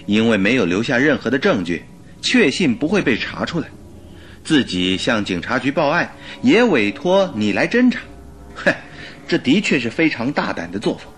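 An actor playing a detective's inquisitive companion in a radio drama, reasoning aloud in a conversational way. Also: male voice, medium pitch, big pitch swings, normal speed, a bit bright, a little hiss or hum. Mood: pondering.

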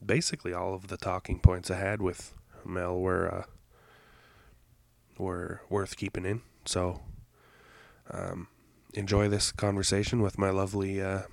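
A man hosting a podcast, congested from allergies, rambling in a halting way with many fillers and self-corrections. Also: low voice, completely flat delivery, gravelly, no echo. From Canada. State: congested, stuffed-up nose. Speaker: podcast host. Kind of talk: rambling solo intro.

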